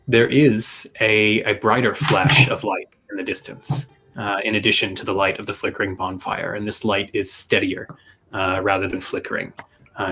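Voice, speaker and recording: Low-voiced male game master. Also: sharp tone. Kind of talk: improvised tabletop game narration. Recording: music behind the voice. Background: music.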